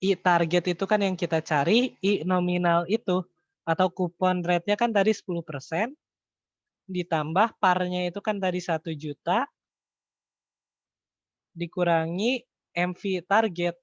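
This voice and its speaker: A man with a slightly high voice tutors informally, working through calculations out loud. His delivery is halting, with figures mumbled as he checks with his listeners. The sound is roomy and a bit dull. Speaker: accounting tutor. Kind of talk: informal online lesson, thinking aloud through calculations. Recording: echoey room, dull lo-fi online-call audio.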